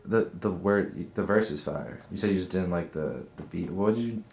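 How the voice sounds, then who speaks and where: Deep-voiced man asking easygoing questions. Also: moderate pace, gravelly. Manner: relaxed, hesitant questions with many restarts. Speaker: interviewer. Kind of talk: casual interview. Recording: roomy with faint background noise.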